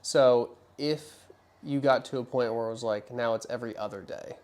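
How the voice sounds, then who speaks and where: Guy physical therapist talking casually to a patient, mid pitched voice, reassuring, keeps checking in. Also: normal speed, a little mellow. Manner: reassuring, checks understanding often. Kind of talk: casual explanatory consultation talk with a patient.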